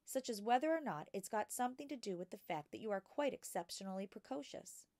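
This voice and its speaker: A woman voicing a gentle, patient woman teacher in a children's storybook, explaining things with care. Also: medium pitch, expressive, dry recording, voice pushed up, lightly acted. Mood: cautious, earnest. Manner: patient, careful, measured explaining. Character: gentle, cautious, patient young woman schoolteacher. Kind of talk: children's storybook character reading.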